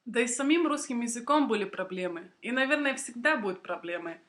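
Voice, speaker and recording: Bright-voiced young woman explaining to learners. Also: fairly high pitch, expressive, fast. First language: Russian. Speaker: Russian language teacher and video blogger. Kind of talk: prepared explanatory storytelling to camera for learners.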